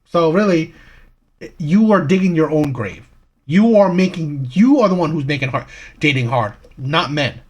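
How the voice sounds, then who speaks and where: A man's voice: a little high, lively intonation, normal speed, breathy edge, muffled recording. A man hosting a reaction channel, giving opinionated commentary with rhetorical questions and frequent "right?" checks.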